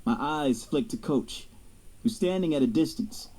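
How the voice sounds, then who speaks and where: A man's voice: on the high side, animated, a little slow, mellow, slightly rough, poor audio. An actor playing a young male athlete, guarded and curious, narrating his own story in an audio drama. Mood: wary. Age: young adult. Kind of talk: first-person audio drama narration and dialogue.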